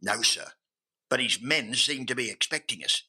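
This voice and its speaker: A man's voice: mid-range voice, lively intonation, moderate pace, pushed and bright, husky, full character voice. An actor playing a dutiful, earnest police sergeant in an old-time radio drama, deferential to his superior. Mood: earnest, urgent.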